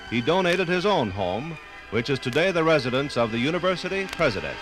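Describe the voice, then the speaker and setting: Animated American man narrating vintage radio documentary. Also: a little high, loud music behind the voice. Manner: formal, theatrical, measured phrasing. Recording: vintage 1950s radio recording.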